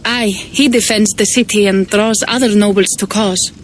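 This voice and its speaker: A woman's voice: mid-range voice, fairly even intonation, moderate pace, slight background noise, natural voice. An actress playing a troubled female Viking raider in a video game cutscene, speaking earnestly and questioning. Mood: uneasy.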